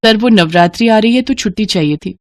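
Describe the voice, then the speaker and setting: A woman's voice: mid-range voice, fairly quick, darkish tone, no echo, close to an everyday voice. A woman voicing a dutiful female prison guard who speaks respectfully and pleadingly.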